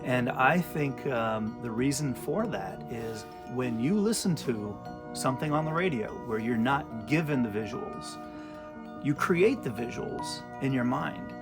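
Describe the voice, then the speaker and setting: A man explaining his thoughts in an interview in a measured way. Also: mid-range voice, animated, over music.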